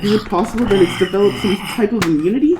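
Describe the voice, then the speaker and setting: A man playing an uneasy high-voiced lab scientist. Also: fast, roomy sound, noisy background, lightly acted. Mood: alarmed. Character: uneasy, grudging male scientist, the junior lab partner. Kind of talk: amateur radio play acting.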